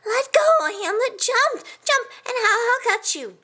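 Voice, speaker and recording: A woman voicing a small, squeaky, panicky worrier of an animal in a storybook, yelling out in alarm. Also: sing-song, unhurried, heavily acted. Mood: urgent. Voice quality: tiny, squeaky. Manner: shrill yelling, exclaiming.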